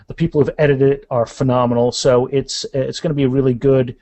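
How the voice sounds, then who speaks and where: A man's voice: mid-range voice, little pitch movement, brisk, mellow. A man speaking as an author and interview guest, telling anecdotes in a casual, rambling conversational way.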